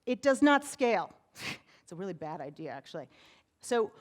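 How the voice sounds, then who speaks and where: A woman with a big voice giving a conference talk on stage as a PR firm president, chatty and energetic, often tagging "right?" onto her points. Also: mid-range voice, normal speed. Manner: energetic, chatty, uses many "right?" tags.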